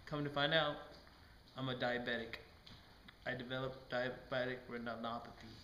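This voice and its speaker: A man's voice: mid-range voice, steady tone, moderate pace, dull audio. A man giving an amateur first-person patient testimonial in a skit, in short, clipped phrases. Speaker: patient guest in a student skit. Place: indoor room, amateur student video. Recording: echoey room with background noise.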